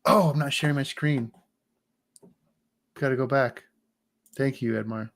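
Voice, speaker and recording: A man in his forties chatting casually on a livestream as he walks through his engineering software, joking and restarting his sentences. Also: medium pitch, steady tone, a little slow. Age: 40s.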